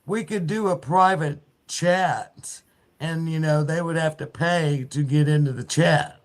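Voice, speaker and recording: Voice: medium pitch, unhurried. A podcast co-host joking around and plugging the show in casual chat.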